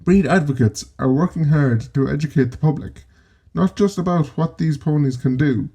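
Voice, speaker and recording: An Irishman with a slightly higher voice narrates in a steady, scripted way, like a documentary presenter. His tone is mellow and warm, and he slips in dry, joking asides between the facts. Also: husky. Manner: measured storytelling with wry asides.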